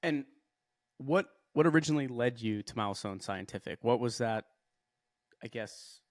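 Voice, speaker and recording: A man's voice: mid-range voice, expressive, normal speed, no room sound. Upbeat male podcast host, dry sound. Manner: upbeat, friendly, repeats words while searching for phrasing. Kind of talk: podcast interview.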